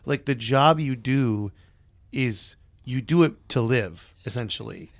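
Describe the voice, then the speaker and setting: A man's voice: mid-range voice, slow pace, dry recording. A man co-hosting a podcast, chatting loosely and joking, cursing now and then, his voice a bit worn. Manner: loose and joking, sprinkled with swearing. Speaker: podcast co-host. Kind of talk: casual chat.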